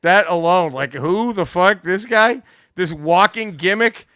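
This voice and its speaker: A man co-hosting a comedy podcast, trading profane, mocking banter and shouting when he gets excited. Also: high voice, a little slow, dry recording, clean studio sound.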